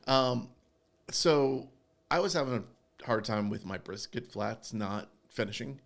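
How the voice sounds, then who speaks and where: A man's voice: medium pitch, unhurried, gravelly. A man co-hosting a barbecue podcast, chatting casually and explaining step by step with frequent restarts. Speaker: podcast co-host. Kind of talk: casual chat.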